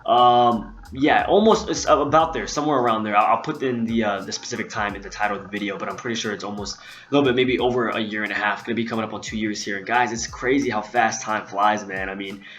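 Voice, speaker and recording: A young man chatting fast into a laptop mic at home, rambling along with plenty of ums and uhs. His voice sits fairly low, and he sounds a little out of breath. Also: steady tone, fairly bright tone. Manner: rambling, filler-heavy, chatty. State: slightly out of breath. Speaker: vlogger. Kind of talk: casual talk to camera. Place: home room, indoors. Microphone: laptop built-in mic.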